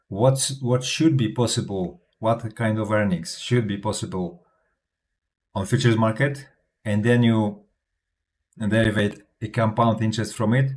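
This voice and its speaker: Low-voiced man with a Polish accent. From Poland. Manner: chatty, frequent restarts and little laughs. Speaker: crypto YouTuber. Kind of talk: casual talking-to-camera vlog and Q&A.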